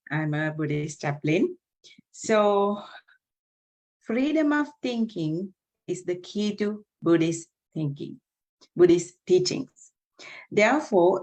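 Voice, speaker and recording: A middle-aged woman from Sri Lanka with a Sri Lankan accent, a chaplain giving an earnest, unhurried webinar talk with frequent ums over a video call from home. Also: lowish voice. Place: home room, indoors. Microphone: video call, laptop camera.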